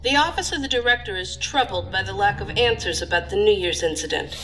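A woman's voice: mid-range voice, lively intonation, brisk, a bit bright, soft background music, lightly acted, low-quality recording. A woman playing a stern, critical deputy director of a federal agency in a science fiction audio drama, formal and cutting. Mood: stern, disapproving.

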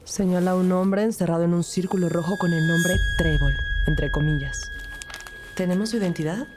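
A woman's voice: fairly low pitch, normal speed, noisy background, lightly acted. An actress playing a composed police detective who narrates her case in a scripted crime audio drama. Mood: composed, serious.

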